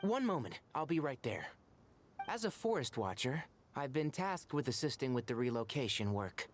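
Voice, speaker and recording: An actor playing a composed young forest watcher and mentor in an anime-style video game, articulate and matter-of-fact. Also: male voice, mid-range voice, very animated, moderate pace, slight background noise, close to an everyday voice. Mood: dutiful.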